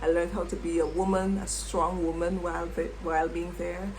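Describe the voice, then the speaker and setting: A middle-aged woman, a former English teacher, reflecting on her past in an unhurried way and repeating words as she thinks. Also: lowish voice, little pitch movement, a little mellow, a little hiss or hum, low-quality recording. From Jamaica. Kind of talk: reflective personal storytelling.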